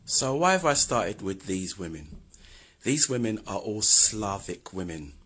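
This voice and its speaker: Husky, very deep-voiced man. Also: sing-song, unhurried, fairly bright tone. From London, England. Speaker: video host. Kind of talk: scripted history commentary.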